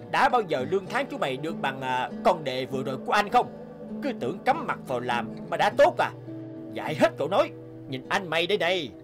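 A swaggering, animated gambler. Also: male voice, on the high side. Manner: swaggering, scornful. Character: cocky boastful young male gambler, older brother. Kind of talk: animated moral tale dialogue.

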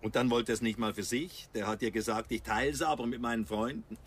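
A gruff older villager, played by a man, speaks bluntly at a middling pitch. He is brusque and reproachful, in radio drama dialogue with faint ambient noise behind him. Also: steady tone, fairly quick, close to an everyday voice. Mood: grudging, frank.

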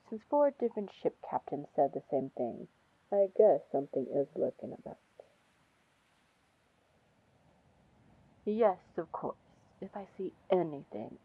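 A woman playing a confident, teasing female pirate captain in an ASMR fantasy roleplay, flirtatious and commanding, with a husky, gravelly voice. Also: fairly low pitch, no echo, phone-quality sound, heavily acted. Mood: coolly polite. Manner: slow, drawling, flirtatious terms of endearment.

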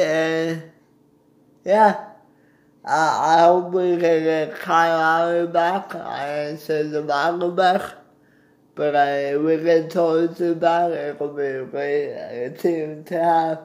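A young man talks through a casual sports recap, recorded on a phone in a room at home. His voice sits a little high for a man. He speaks slowly and haltingly, often searching for the next word. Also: darkish tone. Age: young adult. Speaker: sports vlogger. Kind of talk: casual postgame basketball recap. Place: small indoor room at home. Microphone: phone at arm's length.

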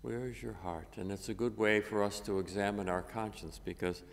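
Older priest, gravelly, in a chapel. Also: male voice, lowish voice, steady tone. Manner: measured, halting with many fillers. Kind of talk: gospel reading and homily. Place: cathedral chapel, at a lectern. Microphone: lectern microphone. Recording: long reverb of a chapel.

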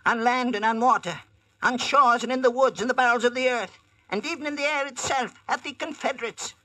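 An actor playing a malevolent old court magician with theatrical, archaic delivery in 1940s-style radio drama. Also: male voice, extremely high pitch, brisk, sharp tone, no echo, exaggerated voice, rough recording. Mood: accusing.

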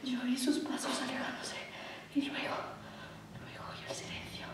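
A young woman acting a frightened, confused girl alone in the dark, in a halting theatrical monologue that moves between hushed murmurs and cries. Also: sits high, lightly acted. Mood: fearful, self-soothing. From Spain. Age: young adult. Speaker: acting student. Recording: roomy echo, distant mic, faint noise.

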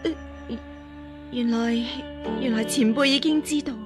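An actress playing a scheming false empress who is cowed, fawning and hesitant, in a Cantonese radio drama. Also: female voice, a little high, unhurried, music in the background, natural voice. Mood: nervous, submissive. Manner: hesitant, stammering, obsequious.

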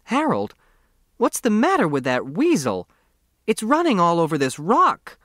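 A man acts out an alarmed woman hiker from an audiobook novel. Her voice sits high above his usual register and is agitated and urgent, in a dry, close recording. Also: wildly swooping pitch, unhurried, fairly bright tone, no echo, exaggerated voice.

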